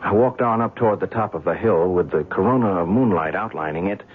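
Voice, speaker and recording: A man's voice: low voice, fairly quick, a little hiss or hum, lightly acted. An actor playing a blunt, skeptical man who recounts a strange night, in an old-time radio drama style. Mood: hushed recounting. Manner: blunt, incredulous, wisecracking. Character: skeptical, brash, down-to-earth male visitor recounting a strange night. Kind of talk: old-time radio drama acting with first-person narration. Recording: tinny band-limited radio recording, music.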